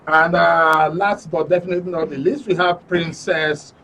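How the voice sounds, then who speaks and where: A Nigerian man hosting a live studio panel show, introducing things haltingly and repeating phrases. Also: a little high, fairly even intonation, sharp tone. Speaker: radio and TV programme host. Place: radio and TV studio. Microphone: studio microphone. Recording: faint noise, distant studio mic.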